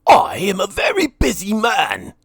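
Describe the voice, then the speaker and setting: A man's voice: sits high, wildly swooping pitch, unhurried, creaky, breathy edge, no room sound, clean studio sound, pitched above the performer's own voice, exaggerated voice. A man voicing a greedy, grumpy, haughty lord in a children's storybook, brusque and blustering. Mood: annoyed. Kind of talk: children's storybook read-aloud.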